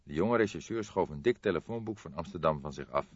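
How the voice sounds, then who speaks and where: A man's voice: extremely low pitch, expressive, fast talker, slightly rough, no room sound, dull audio. A man reading a detective novel aloud as scripted audiobook narration.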